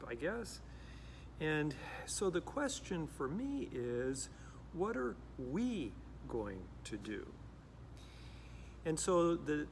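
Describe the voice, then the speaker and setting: A man's voice: mid-range voice, a little hiss or hum. A middle-aged man who grew up in Costa Rica, a pastor speaking to camera in a reflective, earnest way with frequent restarts. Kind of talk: direct-to-camera talk.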